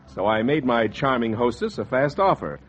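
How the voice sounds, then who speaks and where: An actor playing a wry, scheming fur speculator in a 1940s radio drama, with a hardboiled storytelling style. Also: male voice, medium pitch, sing-song, normal speed, lightly acted. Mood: wry, self-satisfied. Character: slick, wry, scheming fur speculator telling his tale. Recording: dull 1940s radio recording, music behind.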